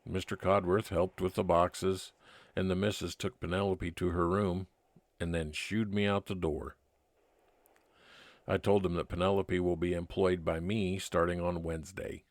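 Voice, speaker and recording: A man playing a kindly, laconic 1950s private detective, narrating his case in old-time radio drama style with measured, plain-spoken delivery. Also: low voice, level tone, dry recording, close to an everyday voice. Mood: reflective. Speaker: narrator and lead actor.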